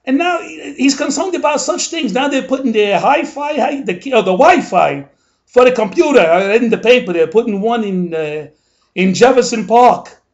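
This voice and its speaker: A man's voice: very high voice, animated, normal speed, highs cut off. A man playing a grumbling older male New Yorker, ranting in a rambling, indignant comic monologue full of asides.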